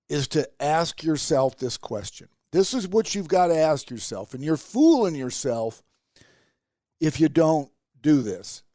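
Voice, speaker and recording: A man's voice: a little high, dark tone, dry recording, studio quality. A man hosting a motivational podcast, sounding nasal from a stuffed-up sinus, talking in an emphatic, rallying way. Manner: emphatic, repetitive, rallying delivery. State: sinus congestion. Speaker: podcast host and motivational speaker.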